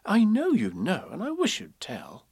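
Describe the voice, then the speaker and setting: Man putting on a high, insistent little girl. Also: wildly swooping pitch, unhurried, vocal fry, a little mellow, breathy edge, no room sound, full character voice. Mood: curious, insistent.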